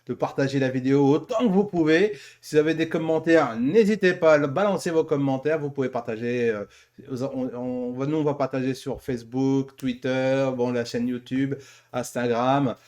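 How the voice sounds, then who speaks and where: A man's voice: medium pitch, normal speed, a little mellow. A man hosting a casual online interview show, speaking in a hesitant, rambling way and repeating his phrases. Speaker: host.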